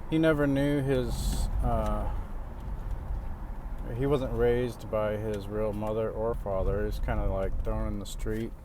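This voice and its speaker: A man talking in a casual, rambling monologue, pausing often and restarting his sentences. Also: lowish voice. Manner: halting, many pauses and restarts. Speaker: vlogger. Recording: steady outdoor traffic noise.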